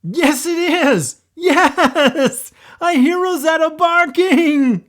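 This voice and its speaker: A man voicing a hot-tempered boy who yells and sobs over his lost dog. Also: sits high, lively intonation, measured pace, gravelly, pitched above the performer's own voice, full character voice. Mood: excited. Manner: yelling, sobbing outbursts. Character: hot-tempered grieving boy, loud and shrill.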